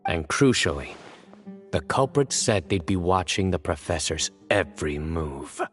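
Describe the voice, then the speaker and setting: A stern young male judge who reasons through clues calmly. His voice sits slightly low and steady. Faint game music plays underneath. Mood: deductive. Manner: measured, deductive, calm.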